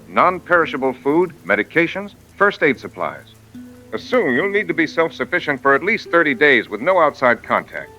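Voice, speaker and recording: A man playing a blunt, grim, urgent outbreak expert who gives deliberate, emphatic instructions in a radio drama interview. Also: medium pitch, sharp tone. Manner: deliberate, emphatic, listing advice. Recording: dull lo-fi radio sound.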